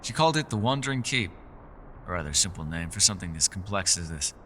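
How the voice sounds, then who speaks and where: Fantasy ASMR roleplay: male actor as courteous, mysterious elf scholar, theatrical and genteel, animated mid-pitched delivery over clear storm ambience. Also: fast talker, slight background noise. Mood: proud, explanatory. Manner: theatrical, genteel, old-fashioned formal phrasing. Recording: rain, thunder and storm ambience behind. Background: rain and thunder sound effects.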